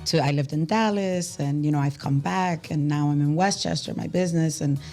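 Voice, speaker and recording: A woman's voice: low-pitched, brisk, faint music behind. Middle-aged woman guest, easy bilingual storytelling. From Bronx, New York. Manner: mixes English and Spanish, relaxed storytelling. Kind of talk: casual interview chat.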